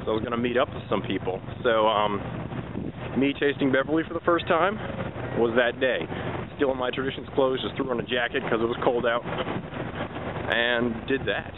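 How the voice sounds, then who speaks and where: A man's voice: mid-range voice, normal speed. A young man rambling casually through a walking vlog, joking at himself while he strolls outdoors. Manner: chatty, rambling, self-joking asides. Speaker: vlogger. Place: outdoors at a theme park resort, walking. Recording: tinny lo-fi audio with outdoor noise.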